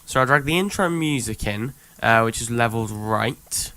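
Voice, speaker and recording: A man's voice: medium pitch, moderate pace, sharp tone, no room sound. A young man with a voice worn by illness, walking through his video editing in a casual, rambling screen-recorded tutorial full of ums. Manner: rambling, thinks aloud with many ums. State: sick, voice worn. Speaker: youtuber showing his editing.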